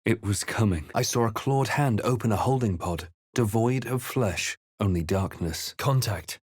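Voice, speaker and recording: Measured fantasy adventurer, dry recording. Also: male voice, on the low side, darkish tone, breathy edge, dry recording. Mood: solemn, tense. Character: brooding male fantasy adventurer hero, narrating his visions and deeds. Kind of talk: dramatic video game voice acting.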